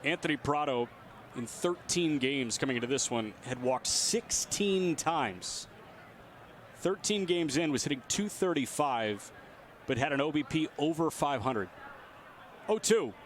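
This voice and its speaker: A man's voice: on the high side, lively intonation, unhurried, a little hiss or hum. A man doing live radio play-by-play commentary of a baseball game. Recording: outdoor stadium ambience behind voice. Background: ballpark ambience.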